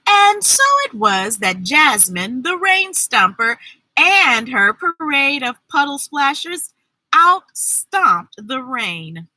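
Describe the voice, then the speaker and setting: Female kids' storytime host: very bright, forceful voice, unhurried pacing, playful and exuberant delivery. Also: sits high, big pitch swings, poor audio. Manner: exuberant, playful, sound effects and drawn-out words. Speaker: children's storybook reader and host. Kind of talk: animated read-aloud for children with chatty asides.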